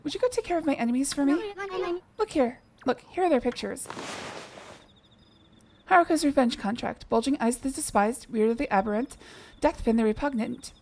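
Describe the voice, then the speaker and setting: A woman's voice: high-pitched, fairly quick, long pauses, crowd noise. A woman voicing a grieving young woman set on avenging her father, read plainly over game dialogue. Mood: earnest. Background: game music.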